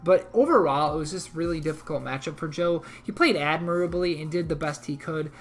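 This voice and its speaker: A man co-hosting a league recap, talking through a match in a rambling, self-correcting way, a little hoarse from a cold. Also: fairly high pitch, brisk. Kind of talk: casual game recap commentary.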